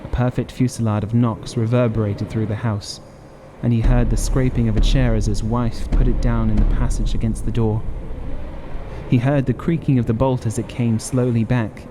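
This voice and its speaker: A man's voice: mid-range voice, background noise. A man narrating a horror audiobook in a dramatic, scripted reading style.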